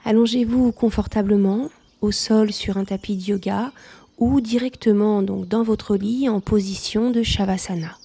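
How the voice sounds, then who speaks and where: A woman leading a guided yoga nidra relaxation, speaking softly in a calm, soothing manner. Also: medium pitch, moderate pace, vocal fry, slightly rough.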